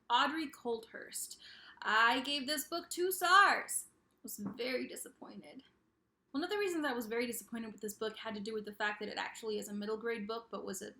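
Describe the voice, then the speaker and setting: A woman's voice: fairly high pitch, sharp tone. A woman talking casually in a book review vlog, emphatic and chatty, often repeating phrases for emphasis. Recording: roomy, echoey indoor recording.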